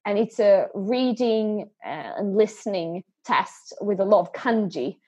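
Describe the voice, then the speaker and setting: A woman's voice: mid-range voice, measured pace, mellow, slightly rough. A woman guest in a podcast interview, talking earnestly and often repeating words as she starts a thought. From Sweden and England. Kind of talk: conversational interview.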